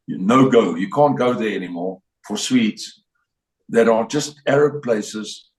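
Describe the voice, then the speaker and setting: A man's voice: on the low side, lively intonation, a little slow, a little mellow, recorded from a distance. An older man with a South African accent, giving a blunt, rambling interview answer with many repeats and restarts. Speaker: interview guest. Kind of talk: opinionated interview talk. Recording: roomy sound, voice a bit off-mic.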